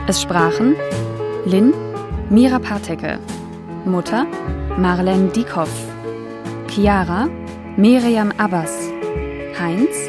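A woman announcer reading the closing credits of a radio play in a measured, formal way. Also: medium pitch. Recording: announcement over loud music.

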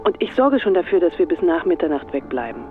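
Doting mother, conspiratorial, voicemail filter, dull band-limited sound, loud music behind, radio drama. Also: fairly low pitch, big pitch swings, fast. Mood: affectionate, conspiratorial. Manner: affectionate, conspiratorial. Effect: voicemail phone filter. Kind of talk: radio play acting.

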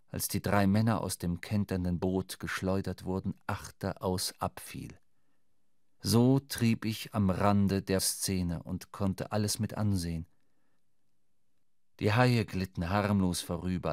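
An actor playing a sombre sailor who narrates a shipwreck in a measured, dramatic literary radio play. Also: male voice, deep voice, dry recording, studio quality.

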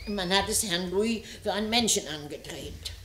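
A woman's voice: medium pitch, moderate pace, fairly bright tone, slight background noise, natural voice. Woman playing a curt, defensive landlady. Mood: matter-of-fact. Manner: brusque, dry, grudging answers. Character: curt, defensive landlady with a gossipy, unimpressed streak. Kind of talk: vintage German radio crime play acting.

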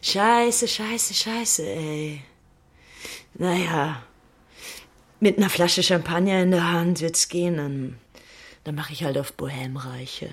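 A woman's voice: low voice, slow, pauses often, slightly rough, heavily acted. An actress playing a flustered woman who curses and schemes to herself in an audio drama. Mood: flustered, scheming. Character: flustered, cursing woman posing as rich bohemian. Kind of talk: audio drama acting, self-talk.

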